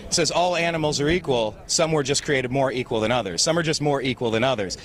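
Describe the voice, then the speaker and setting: A man working as a street reporter, interviewing people in a brisk, chatty way. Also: mid-range voice. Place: outdoors on a city street.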